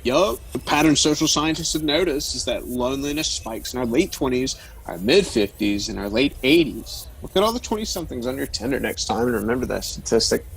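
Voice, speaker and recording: A man's voice: mid-range voice, animated, a little fast, gravelly, hardly any pauses, poor audio. An actor playing a blunt, flirtatious man who talks like a probing amateur therapist in an audio drama. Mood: frank, reassuring. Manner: frank, probing, explanatory.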